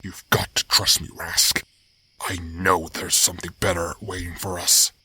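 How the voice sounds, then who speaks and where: Man playing a deep, grim, driven wild animal. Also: flat delivery, unhurried, pushed and bright, breathy edge, full character voice. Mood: earnest, pleading. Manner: intense, urgent, solemn. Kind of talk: dramatic animal fandub acting.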